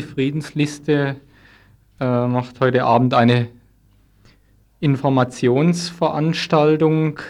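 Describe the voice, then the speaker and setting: A man's voice: mid-range voice, a little mellow. A man presenting informal event announcements on the radio.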